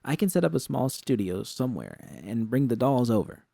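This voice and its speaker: A man voicing an excitable, earnest young woman technician in an audiobook story, with urgent, halting, slangy speech. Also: medium pitch, gravelly, no echo, studio quality. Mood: anxious, hopeful.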